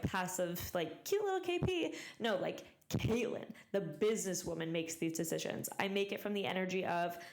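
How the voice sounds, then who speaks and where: A young woman in her twenties hosting a motivational solo podcast, talking emphatically and repeating phrases for stress while her voice recovers from being lost. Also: mid-range voice. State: recovering from voice loss. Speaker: podcast host.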